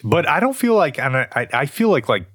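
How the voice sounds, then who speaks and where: Low-voiced guy, super animated, loose and sweary, dead dry sound. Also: brisk, husky, dry recording. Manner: loose, swearing, trailing restarts. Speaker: podcast co-host. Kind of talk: casual chat.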